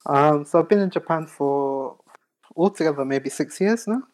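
A man in his thirties with a London accent, a podcast guest chatting casually, soft-spoken and hesitant with many pauses. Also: a little high. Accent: London English. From South London, England. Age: 30s. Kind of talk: casual chat.

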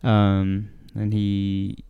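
Male co-host, slow and halting, crisp low voice, dry close recording. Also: completely flat delivery, dry recording, phone-quality sound. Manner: slow, hesitant, many fillers. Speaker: podcast co-host. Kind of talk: casual scripture discussion.

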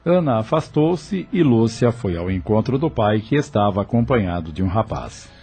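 A man narrating a radio soap from a script, with a husky voice. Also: on the low side, very animated, normal speed, dark tone.

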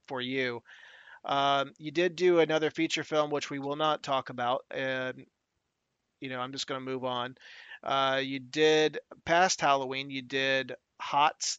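A man hosting an interview, speaking haltingly with many fillers as he steers through topics. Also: mid-range voice, pushed and bright, smooth, no room sound, lo-fi sound. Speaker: interview host. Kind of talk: casual interview.

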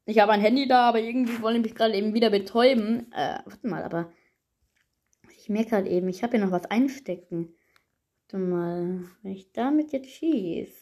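A woman giving excitable, slangy improvised gameplay commentary and talking directly to her audience. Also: medium pitch, brisk. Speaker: gaming narrator and player.

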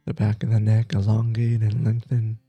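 A man leading a guided meditation as teacher, gentle and soothing, with soft breathy phrases that trail off between long pauses. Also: fairly low pitch, monotone, no room sound, soft background music. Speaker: meditation teacher, podcast host.

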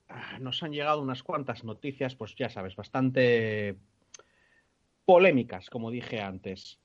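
Brief: male podcast host, mid-pitched, chatty and rambling. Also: highs cut off. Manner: rambling, laughs often. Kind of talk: casual podcast chat.

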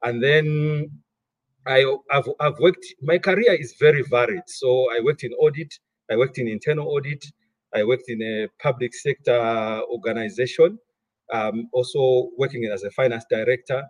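A man's voice: medium pitch, normal speed, dry recording, dull audio. A middle-aged man from Malawi, a guest in an interview, who often repeats words while gathering his thoughts. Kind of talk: interview conversation.

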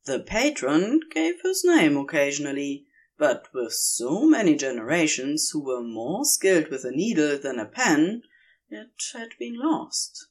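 A narrator reading a story aloud in a measured, expressive storybook manner with deliberate pauses. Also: mid-range voice. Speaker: podfic reader. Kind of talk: scripted narration, reading fan fiction aloud.

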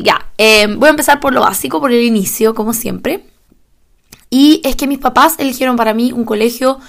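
A woman's voice: on the high side, vocal fry. A young woman from Chile with a Chilean Spanish accent, talking casually on her own podcast, chatty with fillers and small laughs. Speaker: podcast host.

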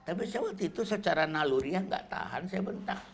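Indonesian-speaking man, gravelly relaxed lecture voice. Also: high-pitched, a little fast. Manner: relaxed, conversational, with frequent fillers like "gitu ya". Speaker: parenting speaker. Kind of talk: casual lecture on parenting.

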